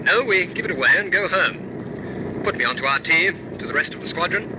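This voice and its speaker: An actor playing a decisive bomber captain in a wartime radio drama, giving clipped, commanding orders over droning engines. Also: male voice, sits high, expressive, brisk. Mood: firm, frustrated. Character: decisive bomber captain, adult man, firm under pressure. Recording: loud aircraft engine noise.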